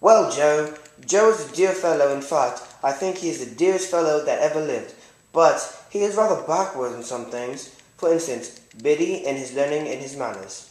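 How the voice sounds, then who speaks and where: A man's voice: a little high, expressive, moderate pace, darkish tone, natural voice. A man playing a proud, condescending young man, reading first-person literary narration and dialogue formally in an amateur puppet show. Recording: echoey room.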